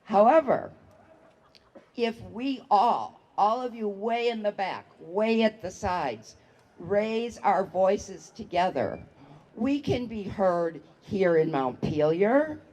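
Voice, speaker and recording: A woman human rights activist giving a slow, solemn rally speech into a microphone outdoors, building to shouted calls to the crowd. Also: medium pitch, animated. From United States. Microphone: public address microphone.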